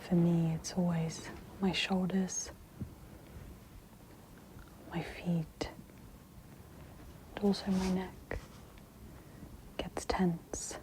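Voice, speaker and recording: A woman hosts a guided ASMR meditation in a soft, breathy whisper. Her delivery is very slow and soothing, with a dark, mellow tone. A faint noise sits behind the close recording. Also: lowish voice, level tone, poor audio. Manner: soft, gentle, soothing instruction.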